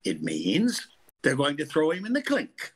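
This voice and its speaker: Husky, exasperated radio-comedy psychiatrist. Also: male voice, on the high side, wildly swooping pitch, measured pace, heavily acted. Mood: grave, exasperated. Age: older. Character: exasperated, dry-witted male psychiatrist. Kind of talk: 1940s radio comedy acting.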